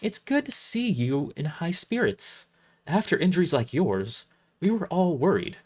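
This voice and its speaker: Kindly seasoned female healer, high, assured, reassuring, for a fantasy audio story; male reader. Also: sing-song, measured pace, a little mellow, slightly rough, exaggerated voice. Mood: calm, reassuring. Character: seasoned, calm, kindly woman priest healer, youthful.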